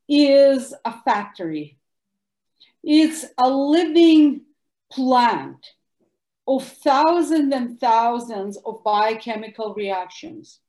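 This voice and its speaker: Animated Russian-accented woman. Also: fairly high pitch. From former Soviet Union. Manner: animated, emphatic, bursts of louder words. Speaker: guest doctor. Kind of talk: interview answers. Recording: echoey room.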